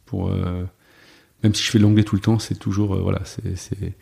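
Low gravelly-voiced man, halting speech. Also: little pitch movement, warm tone. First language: French. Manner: hesitant, many fillers and restarts. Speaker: guest, software developer. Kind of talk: casual conversational interview.

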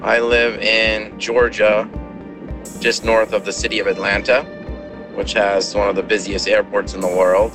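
An American man in his forties, speaking in a calm, measured way as an interviewee about the mood in his country. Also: fairly low pitch, over music. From United States. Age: 40s.

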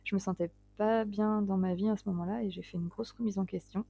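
A woman's voice: medium pitch, steady tone, fairly quick, creaky, dark tone. A woman in her late twenties, a guest writer talking casually in an interview, hesitant and self-deprecating, often breaking into laughs. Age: 20s.

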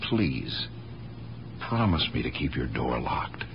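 Deep-voiced, harried police detective, muffled radio. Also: male voice, big pitch swings, slow pace, heavily acted. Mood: concerned, affectionate. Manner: blunt, pleading, wry. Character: harried, exasperated police detective, devoted nephew. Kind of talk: 1970s radio drama acting. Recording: dull, noisy 1970s radio broadcast recording.

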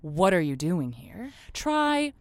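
A woman's voice: lowish voice, big pitch swings, slow pace, dry recording. A woman playing a playful, saucy radio advice host, teasing and encouraging her callers in an improvised audio comedy.

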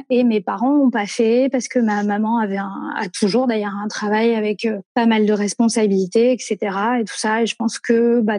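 A French woman in her thirties, telling about family travels in a relaxed, rambling way with many fillers and self-corrections. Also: mid-range voice, no echo. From France. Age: 30s. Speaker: guest. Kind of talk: casual conversational interview.

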